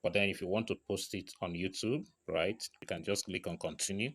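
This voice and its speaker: Low-voiced man giving a casual tutorial. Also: brisk, fairly bright tone, husky. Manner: frequent check-in tags like right and okay. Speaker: tutorial presenter. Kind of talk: casual step-by-step screen tutorial narration.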